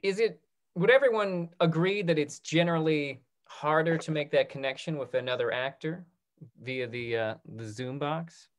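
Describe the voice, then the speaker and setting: A man around his thirties moderating a casual question-and-answer session on a video call, restarting phrases in a halting way. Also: on the high side. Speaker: moderator of a panel discussion. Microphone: video call computer microphone.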